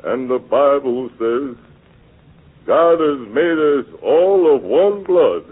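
An actor playing a humble, dignified old working man in a 1940s radio drama, halting and earnest. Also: medium pitch, animated, slightly rough. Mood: impassioned. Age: older. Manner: deferential, halting, rising to earnest oratory. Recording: dull old radio broadcast recording.

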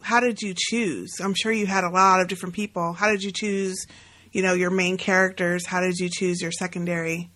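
A woman's voice: on the low side, little pitch movement, fairly quick, a bit bright. A woman co-hosting a podcast interview, chatty and eager, who often jumps in with questions. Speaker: podcast co-host. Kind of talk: casual interview chat.

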